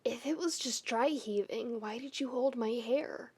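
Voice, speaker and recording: A woman voicing a flustered, defensive young man in a podfic, speaking in a hushed and hesitant way. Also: on the high side, unhurried, breathy edge, voice pushed up. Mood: confused, alarmed.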